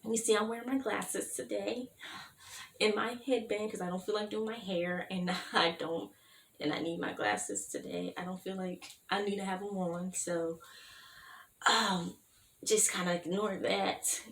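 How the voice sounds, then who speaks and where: A tired young woman, her voice going hoarse, chatting in a rambling vlog with lots of "like" and "you know what I mean". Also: mid-range voice, steady tone, a little slow, fairly bright tone. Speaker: YouTuber, fan-fiction writer. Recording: echoey room with faint hiss.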